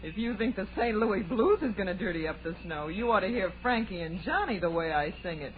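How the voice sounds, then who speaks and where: A woman's voice: medium pitch, lively intonation, fairly quick, lightly acted. An actress playing a brash, slangy, teasing young city woman in a 1940s radio drama. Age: young adult. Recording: noisy, tinny 1940s radio recording.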